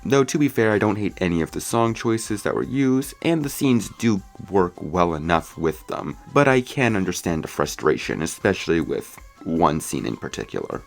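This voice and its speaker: Man, low voice, lively swooping intonation, scripted film review commentary. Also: moderate pace, gravelly, hardly any pauses, faint music behind. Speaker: movie reviewer. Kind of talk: scripted video essay commentary.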